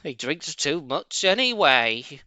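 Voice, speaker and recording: A man's voice: a little high, big pitch swings, slow, bright, forceful tone, no room sound, voice pushed up, full character voice. A man voicing a huge, servile countrywoman servant in a storybook, grumbling and calling out colloquially.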